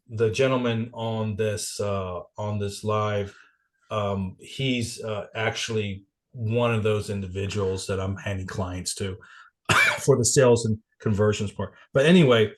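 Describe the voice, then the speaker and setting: A man getting over a cold, a little congested and coughing, giving a casual business presentation on a video call. He rambles with many fillers and restarts. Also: fairly low pitch. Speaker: guest presenter at a networking meeting.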